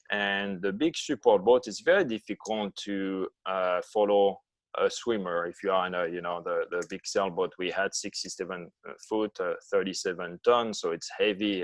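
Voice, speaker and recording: A man in his fifties with a foreign accent, answering interview questions over a video call in halting English with many pauses. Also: low-pitched, no room sound. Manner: halting, non-native phrasing with many uh pauses. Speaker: guest, long-distance ocean swimmer.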